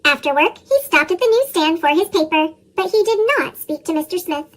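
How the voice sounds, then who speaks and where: Chipmunk-voiced woman reading, extremely high. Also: lively intonation, a little fast, fairly bright tone. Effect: chipmunk-style pitched-up voice. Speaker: children's storybook reader. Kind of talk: expressive read-aloud narration.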